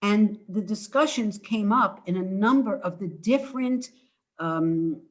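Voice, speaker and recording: An older woman giving an informal book talk over a video call from home, halting and emphatic as she speaks. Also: mid-range voice. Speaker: author presenting her book. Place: indoors at home.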